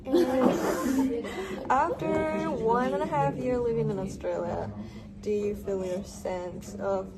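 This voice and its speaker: A woman's voice: fairly high pitch, steady tone, slow, over loud music. A woman interviewing, asking her questions and prompting with short backchannels. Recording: distant mic in a loud crowd. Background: loud crowd chatter.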